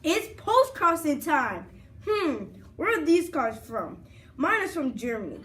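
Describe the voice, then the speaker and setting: A woman's voice: sits high, sing-song, measured pace, a bit bright, faint music behind. A young girl anchoring a school news broadcast, reading her script aloud.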